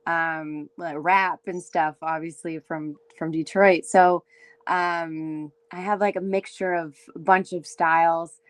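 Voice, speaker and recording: A young woman from Windsor, Ontario with a raspy voice, chatting loosely as a singer-songwriter guest, full of fillers. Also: on the low side, fairly even intonation, slow, no room sound. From Windsor, Ontario, Canada. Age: young adult. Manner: chatty, many fillers, laughs easily. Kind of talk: casual interview chat.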